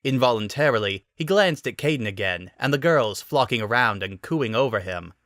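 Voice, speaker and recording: A man giving an expressive scripted narration of a fan fiction story. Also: mid-range voice, normal speed, no echo, studio quality.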